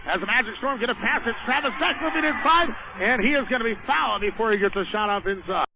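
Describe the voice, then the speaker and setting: A man's voice: extremely high pitch, lively intonation, fast talker, creaky, bright, forceful tone, a little hiss or hum, poor audio. A man doing live radio play-by-play of a basketball game in short, running descriptions of the action. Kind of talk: live radio sports commentary. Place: indoor high school basketball game.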